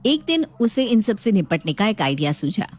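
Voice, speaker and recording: A woman's voice: medium pitch, very animated, moderate pace, a little mellow. A woman narrating a scripted moral story as a storyteller. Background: music.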